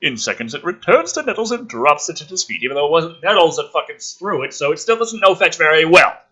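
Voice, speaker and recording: A man reading a script aloud dramatically and breaking into sarcastic, foul-mouthed commentary, with a raspy voice. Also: a little high, very animated, fast talker, pushed and bright, highs cut off. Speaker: gaming channel host reading and mocking a film script. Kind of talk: dramatic script read-through with ranting commentary.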